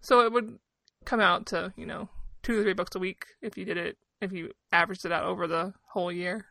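A woman in her thirties with a bright, forward voice that often crackles into fry. She talks like a podcast co-host, candid and self-deprecating, in a casual storytelling flow. The recording is close and dry. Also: fairly low pitch, steady tone, brisk, no echo. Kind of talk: casual personal storytelling and chat.

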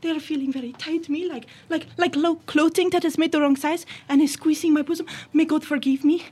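A woman's voice: sits high, fairly even intonation, fairly quick, darkish tone, voice pushed up, natural voice. A young woman playing a fussy, devout female Swedish missionary with a put-on Swedish accent and broken English. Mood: fretful. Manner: broken English, fretful and chatty.

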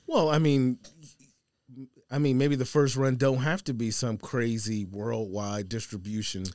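A man's voice: medium pitch, steady tone, unhurried, a little mellow, no echo. A man speaking as a podcast guest in informal, conversational casual chat. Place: studio.

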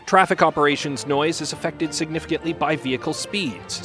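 Male announcer, slightly high voice, formal scripted read with faint music underneath. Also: a bit bright.

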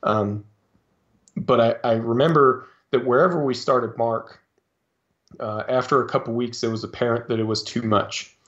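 A man talking as a guest on a podcast, explaining his coaching in a halting way with lots of fillers and restarts. His voice sits in a middle register, and the recording sounds a little dull and lo-fi. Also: medium pitch, steady tone, fairly bright tone. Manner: hesitant, many fillers and restarts. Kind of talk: casual interview talk.

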